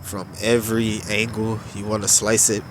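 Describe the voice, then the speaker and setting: A man with a stuffed-up, nasal voice giving unhurried religious commentary, drawing out his phrases with filler pauses. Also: lowish voice, little pitch movement, noisy background.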